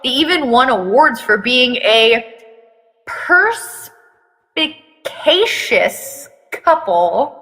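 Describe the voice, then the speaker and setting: Bright, sarcastic woman reading aloud theatrically. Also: a little high, very animated, drawn-out words, long reverb tail, faint music behind, poor audio. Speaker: fan fiction reader on a live video. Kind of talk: mocking dramatic reading with asides.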